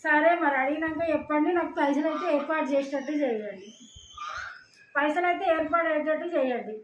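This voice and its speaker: A woman's voice: sits high, fast. A woman with a Telangana Telugu accent talking informally as a caller in a phone conversation, insistent, repetitive and argumentative. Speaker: prank caller. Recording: dull, echoey room with background noise.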